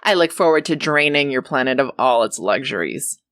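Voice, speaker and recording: Actress as alien aristocrat, very low voice, animated pitch, dry sound, haughty, menacing, audio drama. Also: female voice, a little fast, dry recording. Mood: gloating menace. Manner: taunting, imperious. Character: haughty, menacing alien noble from a conquering planet.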